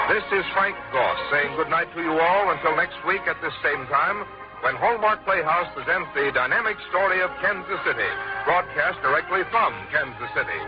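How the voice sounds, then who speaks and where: A man announcing on a 1950s radio show, reading scripted promotions in a polished, formal style. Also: on the high side. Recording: muffled vintage radio broadcast.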